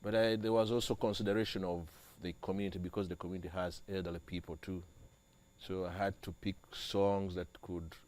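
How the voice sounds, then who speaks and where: A young man from Uganda, a church music director, speaking as an interview guest with halting, repeated phrases. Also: deep voice. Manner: halting, repeats and restarts phrases, grows animated on liturgy. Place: TV studio.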